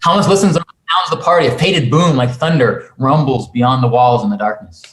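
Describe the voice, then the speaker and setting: A man with a medium-pitched voice reads stage directions as dramatic scripted narration. He is recorded in an echoey room. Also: normal speed. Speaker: narrator reading stage directions at a table read.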